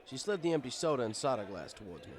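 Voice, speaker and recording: A man's voice: medium pitch, sing-song, quick pace, some chatter behind. A man reading a first-person story as scripted narration, in a wry, conversational manner. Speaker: story reader.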